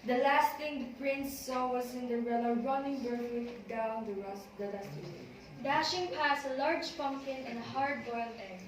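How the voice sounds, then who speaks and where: A woman's voice: on the high side, normal speed. A woman reading scripted storybook narration as the narrator of an amateur readers' theater. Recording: very echoey room, distant, noisy.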